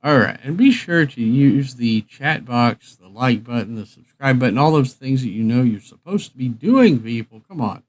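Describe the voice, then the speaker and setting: A man's voice: medium pitch, animated, moderate pace, few pauses. A man hosting a livestream, chatting casually in an informal, joking way. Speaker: livestream host. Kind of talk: casual rambling chat.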